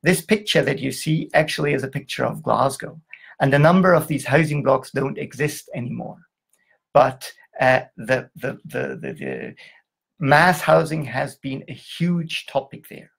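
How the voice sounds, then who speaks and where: Gravelly middle-aged German-born lecturer. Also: male voice, medium pitch, big pitch swings. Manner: halting, with restarts and fillers. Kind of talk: online lecture. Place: indoor room, video call.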